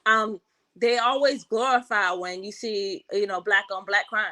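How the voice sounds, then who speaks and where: A woman as a guest in a casual discussion, talking in an animated way and often checking in with her listener. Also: mid-range voice, measured pace. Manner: animated, keeps saying "you know what I'm saying".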